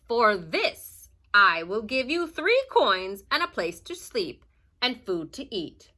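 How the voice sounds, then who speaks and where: A woman voicing an old grandma witch from a storybook, firm and deliberate in manner. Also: a little high, very animated, bright, forceful tone, heavily acted. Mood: stern, instructive. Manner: commanding, deliberate, singsong when chanting spells.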